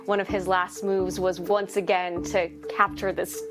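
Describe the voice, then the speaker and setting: A woman's voice: on the low side, level tone, a little slow. A woman conducting a broadcast news interview in a conversational questioning style. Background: music.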